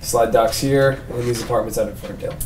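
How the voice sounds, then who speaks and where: A man's voice: mid-range voice, steady tone, brisk, a little mellow, natural voice. A brash male police detective for a film drama, read by an actor. He is cocky, casual and slangy in a mid-range voice. The room echoes, with the mic a little away. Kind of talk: table read of a film script. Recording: echoey room, distant mic, faint noise.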